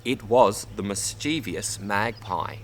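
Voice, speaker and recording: An Australian man reads a children's story aloud in an expressive way, addressing young listeners as a storyteller. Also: on the low side, slow pace, a little hiss or hum. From Bundaberg, Queensland, Australia. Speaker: storybook reader. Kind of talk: read-aloud children's story, narration with word teaching.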